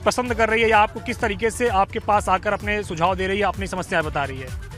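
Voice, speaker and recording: Male field reporter, very high forceful voice, election interviews outdoors, faint music behind. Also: a little fast.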